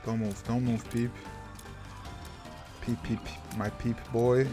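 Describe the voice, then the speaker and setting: Guy chatting slowly over his game, rambly and self-deprecating, game music behind. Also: medium pitch, flat delivery. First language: French. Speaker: gaming channel host. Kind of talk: casual gameplay commentary.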